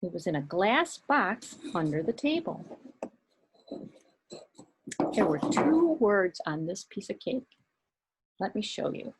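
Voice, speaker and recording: A woman's voice: mid-range voice, background noise. A woman, a children's librarian, telling a story with puppets for kids. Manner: animated, slow and expressive storytelling.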